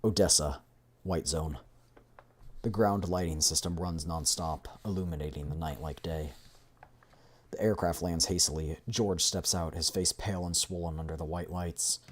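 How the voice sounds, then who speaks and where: A deep-voiced male streamer reads a game story aloud. His delivery is dramatic scripted narration. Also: steady tone, normal speed, slight background noise.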